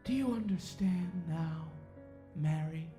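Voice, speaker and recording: A man's voice: fairly high pitch, takes it slowly, dark tone, soft background music. An actor in an audio drama playing a sadistic, zealous Puritan witch-hunting official who preaches and taunts in archaic, formal speech. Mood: quietly satisfied.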